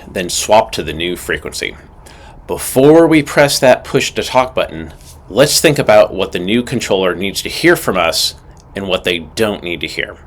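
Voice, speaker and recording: Male instructor, lower register, lively intonation, scripted and methodical teaching read with clear emphasis. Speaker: aviation instructor. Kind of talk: scripted instructional narration with acted radio call examples.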